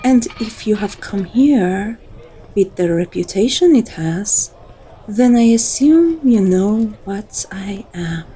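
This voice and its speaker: A dominant female vampire, played by a woman, speaks in a relaxed, dark-toned voice at a leisurely pace. She is flirtatious and teasing, drawing the listener in with intimate endearments as in an ASMR roleplay. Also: mid-range voice, takes it slowly, husky, poor audio. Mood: playful, seductive.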